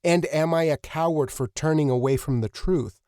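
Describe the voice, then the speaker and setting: A man hosting an interview in casual, reflective talk, effusive and earnest with halting phrasing. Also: on the high side, mellow, dry recording, clean studio sound. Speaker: podcast host, interviewer.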